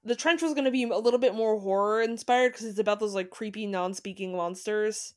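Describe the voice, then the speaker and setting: A woman's voice: medium pitch, a little fast, darkish tone. A woman co-hosting a podcast, chatting casually with lots of asides and fillers. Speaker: podcast co-host. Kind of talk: casual chat.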